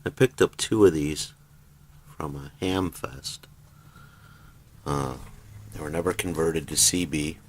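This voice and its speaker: A man giving a casual, plain-spoken product demonstration as a private seller, in an unhurried, informal way. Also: extremely low pitch. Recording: noisy home video, steady background noise.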